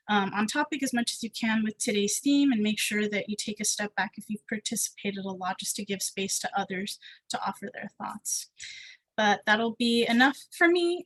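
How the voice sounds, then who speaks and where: A woman's voice: on the high side, a bit bright, rough recording. Woman webinar host on a video call; casual and upbeat, fillers throughout. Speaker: webinar host, housing organizer. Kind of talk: webinar hosting.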